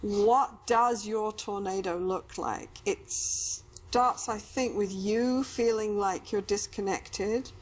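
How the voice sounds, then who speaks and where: A woman's voice: medium pitch, fairly even intonation, slow pace, few pauses. Woman therapist with faint background noise. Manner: probing, repeats clients' words back. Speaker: couples therapist. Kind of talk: guided therapy conversation.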